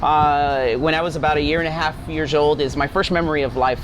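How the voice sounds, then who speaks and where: Male guest, forty, from Guatemala, slightly high voice, earnest storyteller, clear traffic noise behind. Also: fairly even intonation, brisk, poor audio. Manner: earnest, seeks agreement with tag questions. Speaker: interview guest. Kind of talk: personal storytelling in casual interview. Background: street traffic and vehicles.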